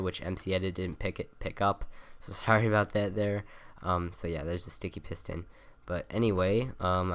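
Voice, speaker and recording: A man's voice: low-pitched, clear, resonant tone. A young man with a nasal voice rambling through a game tutorial, with lots of ums and self-corrections, on a cheap desk mic. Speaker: gaming tutorial maker. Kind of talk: casual game tutorial voice-over. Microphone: cheap USB desk mic by the keyboard.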